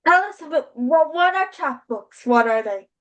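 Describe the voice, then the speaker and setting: A woman's voice: sits high, animated, moderate pace, muffled recording. A woman hosting a show, asking a guest questions in a conversational interview style.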